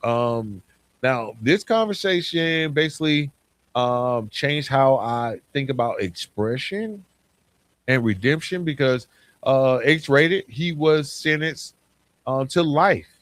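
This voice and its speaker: A man's voice: medium pitch, expressive, slow. A man hosting a podcast alone in a reflective monologue, stressing points by repeating phrases. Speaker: podcast host. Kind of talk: solo podcast monologue, reflective year-end recap.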